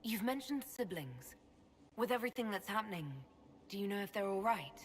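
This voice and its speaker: An actress playing a curious female inquisitor in a video game, asking questions in a soft, inquiring manner. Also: mid-range voice, expressive, moderate pace, big-room sound, slight background noise, close to an everyday voice.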